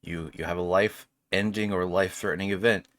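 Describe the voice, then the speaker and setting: Low-voiced joking man doing game commentary. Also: steady tone, fairly quick, fairly bright tone, no room sound. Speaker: gaming video host. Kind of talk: casual let's play commentary with improvised role-play. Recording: game ambience noise behind the voice.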